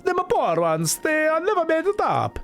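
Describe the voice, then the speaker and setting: A man's voice: very high voice, wildly swooping pitch, moderate pace, a bit bright, voice pushed up, full character voice. A man doing a hammy Spanish alley gossip. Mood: sly. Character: shady street gossip in an alley. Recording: faint game music behind.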